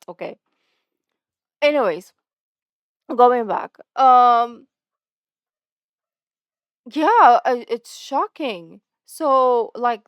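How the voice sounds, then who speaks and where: A woman's voice: fairly high pitch, no echo. A woman hosting a reaction video, rambling and chatty, often correcting herself. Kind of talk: casual chat reacting to a video.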